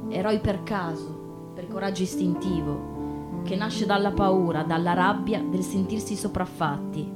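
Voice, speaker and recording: A woman giving a measured, solemn theatrical reading as a stage narrator. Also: lowish voice, over loud music. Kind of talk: scripted theatrical reading of history and reflection.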